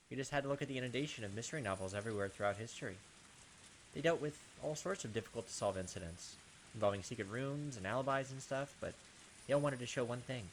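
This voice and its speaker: A man with a mid-range voice reads visual novel narration aloud as a playthrough host, dropping into informal asides. A clear hiss sits behind the voice. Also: medium pitch, a bit bright, rough recording. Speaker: host of a game playthrough channel.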